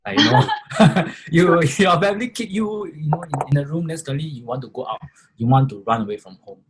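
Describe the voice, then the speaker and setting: A man's voice: fairly high pitch, brisk, noisy background. A man in his twenties with a Singaporean accent, talking loosely and casually as a guest on a panel. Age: 20s. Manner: loose, chatty, full of fillers.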